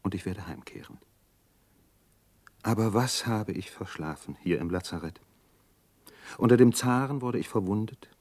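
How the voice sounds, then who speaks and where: An actor playing a weary army doctor, speaking an introspective, measured monologue in a German radio drama. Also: male voice, fairly low pitch, darkish tone. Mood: pensive, reassuring. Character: weary, reflective army doctor recounting his war.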